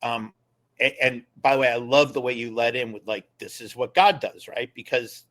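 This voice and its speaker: Animated man explaining as a podcast guest. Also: mid-range voice, moderate pace. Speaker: guest, former government official and attorney. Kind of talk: casual interview conversation.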